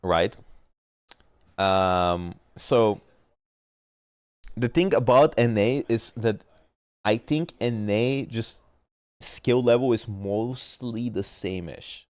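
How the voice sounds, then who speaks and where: A man's voice: fairly low pitch, drawn-out words, pauses often, no room sound, studio quality. A man streaming casually, rambling and arguing about competitive teams and often losing his thread, with a stuffy nose. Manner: rambling, argumentative, trails off mid-point. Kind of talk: casual gaming chat.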